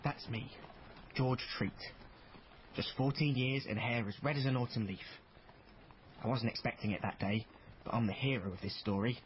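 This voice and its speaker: A man's voice: medium pitch, fairly even intonation, normal speed, long pauses, close to an everyday voice. An actor playing a youthful hero who narrates his family's story in a radio drama with wry, chatty asides. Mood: wry introduction. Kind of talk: dramatized first-person narration. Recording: dull, with faint background noise.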